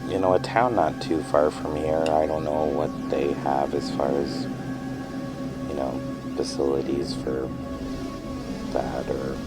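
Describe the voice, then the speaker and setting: A man playing a guilt-ridden, hesitant client confiding in his counselor in a fiction podcast, halting and stammering self-consciously. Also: rumbling low, level tone, over loud music. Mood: sheepish. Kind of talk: naturalistic fiction podcast acting.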